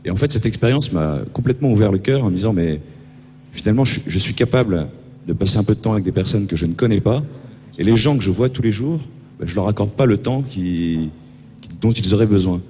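A man's voice: lowish voice, animated, fast, warm tone. A young man with a French accent giving an informal, somewhat hesitant personal testimony. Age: young adult. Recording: reverberant hall, faint hum.

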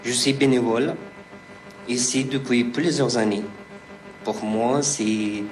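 A man, reading a personal testimony slowly and haltingly, with restarts. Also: mid-range voice, steady tone, over music, low-quality recording. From Afghanistan. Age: 30s. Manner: slow, halting delivery with restarts. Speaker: refugee giving testimony.

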